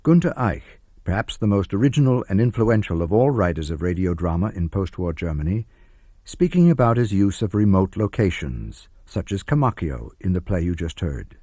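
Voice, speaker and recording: A man narrating from a script for radio in a measured, formal manner. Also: low voice, big pitch swings, warm tone, no room sound, studio quality. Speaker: radio announcer and translation reader.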